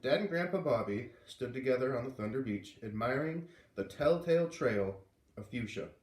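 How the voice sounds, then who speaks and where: A man reading a children's chapter book aloud at home, playfully voicing the characters. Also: normal speed. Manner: lively, voices characters with big pitch jumps. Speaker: reader reading aloud to kids. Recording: roomy, distant from the mic.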